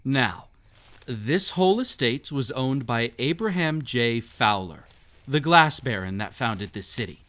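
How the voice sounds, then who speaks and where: Mysterious stranger played by a man hosting a haunted manor in roleplay audio: mid-pitched, very expressive, teasing, quietly sinister storyteller. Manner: teasing, long-winded storyteller, slow dramatic pauses. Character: mysterious teasing host of a haunted glass-filled estate, secretly sinister. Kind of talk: immersive roleplay audio, storytelling addressed to the listener.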